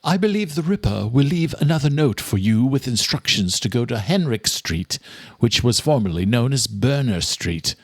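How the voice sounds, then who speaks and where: A man voicing an older, courteous, determined male doctor in an audiobook, speaking in a formal, measured way. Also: medium pitch, expressive, no echo. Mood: intent. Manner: formal, measured, old-fashioned address. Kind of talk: audiobook character dialogue.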